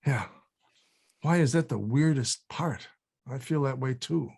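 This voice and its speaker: Man playing a slow-talking, troubled film-set guy. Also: mid-range voice, gravelly, a little mellow, husky.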